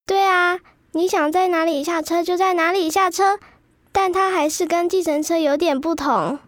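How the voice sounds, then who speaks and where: A woman's voice: extremely high pitch, fairly even intonation, normal speed, no room sound, studio quality. A woman playing a chatty, cheerful schoolgirl in an educational radio drama for children. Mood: lively, sharing. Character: chatty cheerful Filipino-Taiwanese schoolgirl, proud of Philippine food.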